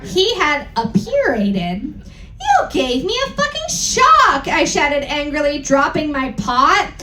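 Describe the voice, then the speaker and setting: A woman reads aloud on stage in a high, wildly animated voice. She plays up the dialogue theatrically and drops in wry asides. The slow, deliberate comedic reading rings around an echoey live room. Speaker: performer reading fan fiction aloud. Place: live event room aboard a cruise ship.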